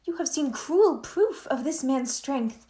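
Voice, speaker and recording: Woman as high-voiced, tender young princess. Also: expressive, slow pace, warm tone. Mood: earnest, kind. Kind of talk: Shakespearean radio play acting.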